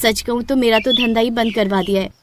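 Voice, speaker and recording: Woman acting young street vendor girl, high voice, plaintive, earnest, fed up, animated storybook. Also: fairly quick, background noise, lightly acted. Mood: exasperated. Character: young female rudraksha garland seller, earnest, fed up. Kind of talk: animated Hindi moral story.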